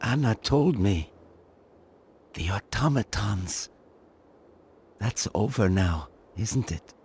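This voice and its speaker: An actor playing a frail, simple-minded old inventor who talks in short childlike sentences, in a video game. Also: male voice, fairly low pitch, expressive, slow pace, a little mellow, slight background noise. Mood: quietly determined. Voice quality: husky, breathy.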